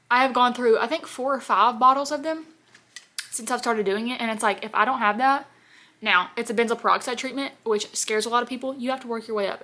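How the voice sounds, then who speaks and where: A woman in her twenties, a beauty vlogger, chatting casually and sassily while doing her makeup at home. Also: a little high. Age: 20s. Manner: chatty, sassy, animated asides. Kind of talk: casual get-ready-with-me chat. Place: home, at her parents' house.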